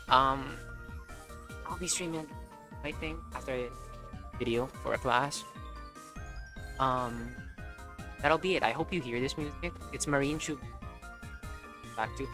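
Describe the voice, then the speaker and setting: A man's voice: a little high, animated, a little slow, pauses often, pushed and bright. Casual young man streaming a game. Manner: informal, sweary. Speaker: game livestreamer. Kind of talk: casual reactive gameplay commentary. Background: game music.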